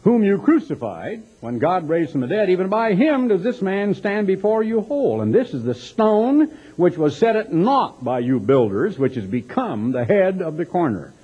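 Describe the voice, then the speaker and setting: Husky, animated Texan preacher, a man. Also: high voice, moderate pace, few pauses. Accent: American, Texas. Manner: emphatic, dramatic delivery with rhetorical questions and mocking asides. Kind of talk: sermon with scripture reading and commentary. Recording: dull lo-fi sermon recording.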